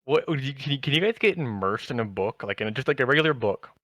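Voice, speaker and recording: A man co-hosting a podcast in casual chat, speaking haltingly and often rephrasing himself. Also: mid-range voice, lively intonation, a bit bright, dull audio. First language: English. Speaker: podcast co-host.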